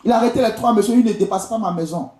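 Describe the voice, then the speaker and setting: Ivorian guy preaching in church, pretty high voice, soft mellow tone, echoey, keeps repeating stuff at the congregation. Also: racing. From Côte d'Ivoire. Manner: exhortative, repetitive, addressing the congregation directly. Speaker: visiting preacher and prophet. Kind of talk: charismatic church sermon. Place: indoor church during a live service. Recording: echoey church hall sound.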